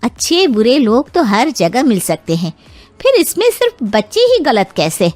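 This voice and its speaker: A woman playing a sensible, open-minded middle-aged friend, reasoning persuasively in an animated story. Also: on the high side, normal speed.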